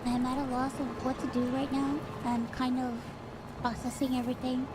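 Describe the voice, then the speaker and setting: A woman YouTuber reads a prepared statement in a halting, distressed way. Her voice is high, and heavy noise runs under the recording. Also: little pitch movement.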